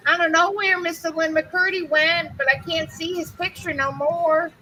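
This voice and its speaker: A woman hosting a casual live stream video chat, chatty and quick to exclaim. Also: very high voice, fairly even intonation, sharp tone, rough recording.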